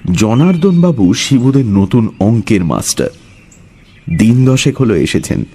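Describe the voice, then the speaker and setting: A man telling a story as a dramatic, scripted narration in a husky voice. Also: medium pitch, sing-song, measured pace, mellow.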